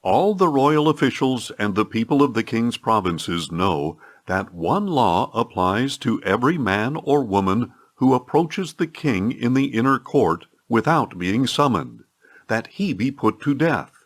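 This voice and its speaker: Guy reading as a courteous but resolute queen in an audiobook, earnest, measured, mid pitch. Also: animated. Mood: apprehensive.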